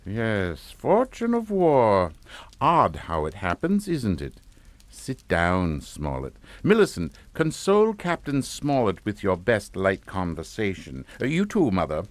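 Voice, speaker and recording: An actor playing a politely menacing upper-class English father in a radio suspense drama, speaking in a clipped, formal, deliberate way. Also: fairly low pitch, wildly swooping pitch, no echo. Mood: brisk false cheer. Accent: British.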